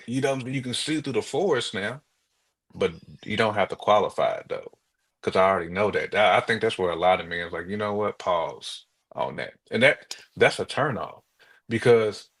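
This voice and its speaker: A man's voice: on the low side, lively intonation, moderate pace, fairly bright tone, slightly rough. A man in a casual, opinionated chat, speaking colloquially and emphatically and repeating his points.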